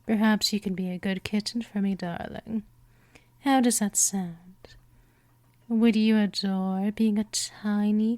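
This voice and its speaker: A woman voicing a gentle but possessive vampire mistress in a hypnosis ASMR roleplay, coaxing softly and often slipping toward a whisper. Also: mid-range voice, dry recording, natural voice. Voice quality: soft, breathy whispering in places. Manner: slow, coaxing, drawn-out delivery.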